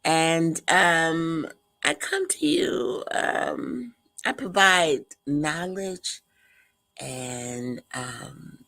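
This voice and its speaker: A woman's voice: extremely low pitch, no echo. An older woman hosting a livestream podcast, talking casually with a nasal voice and many fillers. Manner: slow, halting, many fillers. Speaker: host.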